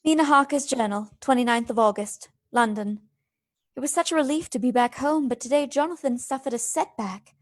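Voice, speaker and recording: A woman's voice: sits high, fairly quick, no room sound, clean studio sound, lightly acted. A woman playing an anxious, caring young wife who narrates her journal in a radio play. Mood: worried.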